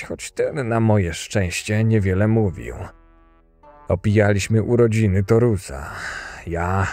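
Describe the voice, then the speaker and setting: Man playing a low-voiced, cynical camp boss. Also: warm tone. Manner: dry, detached, curt. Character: cool, cynical camp boss telling his own story. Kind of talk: first-person dramatic narration in fan audio drama.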